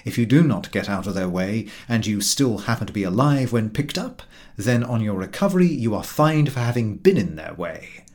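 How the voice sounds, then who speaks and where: A man's voice: lowish voice, big pitch swings, normal speed, a little mellow. A man reading a literary travel narrative aloud as an audiobook narrator. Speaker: audiobook reader.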